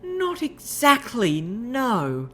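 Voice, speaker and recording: A woman's voice: on the high side, wildly swooping pitch, very slow, pushed and bright, pitched above the performer's own voice, exaggerated voice. A woman voicing a graceful, refined unicorn mare who speaks in a prim, ladylike way. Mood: cautious. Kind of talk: audiobook reading of fan fiction.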